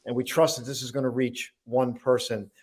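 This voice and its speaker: A man co-hosting an inspirational talk show, speaking at an ordinary mid pitch. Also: flat delivery, normal speed, darkish tone, slightly rough.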